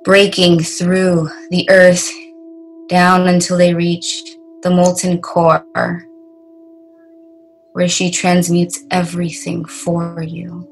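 Very slow, even-toned woman guiding meditation. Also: lowish voice, faint music behind, rough recording. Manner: soothing, deliberate, long pauses between phrases. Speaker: meditation guide.

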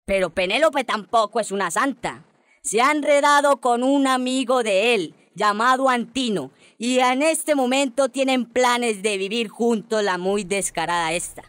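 A woman's voice: a little high, measured pace, fairly bright tone, no room sound. A woman playing a judgmental, scandal-loving talk-show host in a radio drama. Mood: scandalised.

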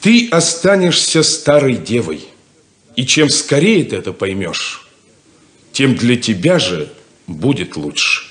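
An actor playing a stern, blunt, domineering older brother in a 1970s Soviet radio play. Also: male voice, medium pitch, very animated, fairly bright tone, roomy sound. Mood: cold, solemn. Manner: domineering, deliberate, lecturing.

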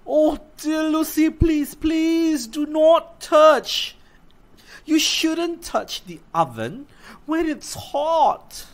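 A gentle, worried mother who warns her little girl again and again in a soft, sing-song voice, as a woman puts her on. She speaks very slowly, with a lively, rising and falling tune, like a parent in a children's storybook. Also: sits high, voice pushed up, exaggerated voice. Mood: cautioning.

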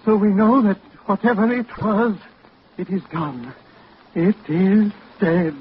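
A man's voice: sits high, slow, slight background noise. A man playing a shaken doctor giving an awestruck eyewitness report into a microphone, in a 1940s radio drama with a muffled broadcast sound.

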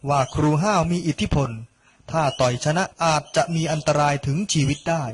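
A young man reading a scripted narration for an animated story. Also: fairly high pitch, moderate pace, a little hiss or hum. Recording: loud music under the voice. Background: loud background music.

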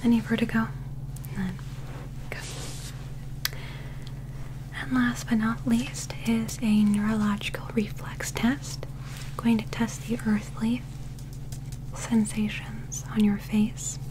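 A young woman doing a soft-spoken ASMR medical roleplay in a breathy whisper, gentle, soothing and reassuring, close to the microphone. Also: medium pitch, background noise. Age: young adult.

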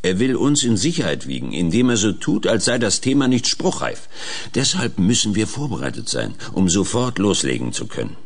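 A man playing a brusque, hurried political schemer in a German radio play, curt and commanding. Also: fairly low pitch, sing-song. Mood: urgent, calculating.

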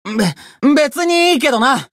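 A woman voicing a cocky, bratty young demon who boasts pompously in rough slang, in a Japanese game character style. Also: sits high, animated, moderate pace, no echo. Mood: cocky, teasing.

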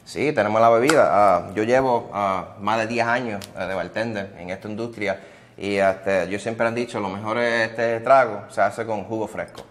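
A man, a restaurant owner answering interview questions in a relaxed way, dropping in English words. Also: lowish voice, moderate pace. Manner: relaxed, many "ah" fillers, mixes in English words. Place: indoors at a restaurant bar.

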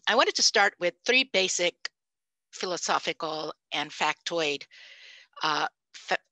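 A Puerto Rican woman in her fifties, a native Spanish speaker, giving an animated, emphatic panel talk over a headset microphone on a video call. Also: on the low side, no echo, low-quality recording. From Puerto Rico. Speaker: panelist, language professor. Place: home room, indoors. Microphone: headset mic.

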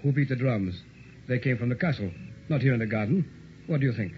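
Male actor as stern inspector, middle pitch, formal foreboding delivery, vintage radio horror, muffled with faint noise. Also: fairly quick, close to an everyday voice. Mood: ominous, measured. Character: male French police inspector, grim and cautious. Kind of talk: 1960s old-time radio horror acting. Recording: muffled old radio recording, background noise.

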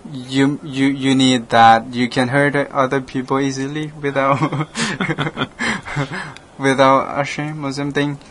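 Slow, hesitant man from Laos. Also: mid-range voice, level tone, fairly bright tone, a little hiss or hum. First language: Lao. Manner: halting, hesitant, frequent restarts, laughs often. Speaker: radio program host, monk. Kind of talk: casual conversation in English.

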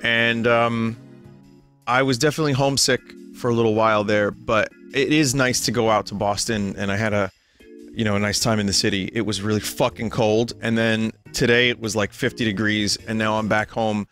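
A young man, a little hoarse and tired, chatting casually and rambling to his stream viewers from home. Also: lowish voice, fairly even intonation, brisk, fairly bright tone, soft background music. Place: home room.

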